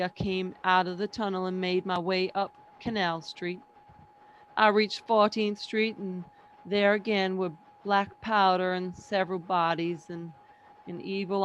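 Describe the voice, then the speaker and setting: A performer playing a sombre professor who survived an invasion, narrating in measured, literary radio play style. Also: medium pitch, fairly bright tone, highs cut off, slight background noise, lightly acted.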